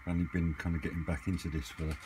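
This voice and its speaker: Low-voiced man, noisy recording. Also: little pitch movement, very fast. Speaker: hobbyist vlogger. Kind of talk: casual chat, off-the-cuff equipment vlog. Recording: clearly noisy amateur camera recording.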